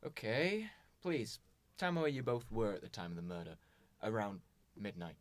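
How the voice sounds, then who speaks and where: Man acting a low-voiced, earnest police inspector. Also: very animated, moderate pace. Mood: businesslike. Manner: questioning, matter-of-fact. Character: earnest, businesslike male police inspector investigating murder. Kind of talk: amateur radio drama acting.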